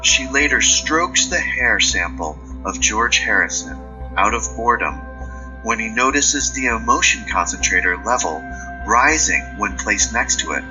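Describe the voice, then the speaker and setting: A man's voice: lowish voice, expressive, measured pace, dull audio, over music. A man narrating a scripted audio drama as a storyteller.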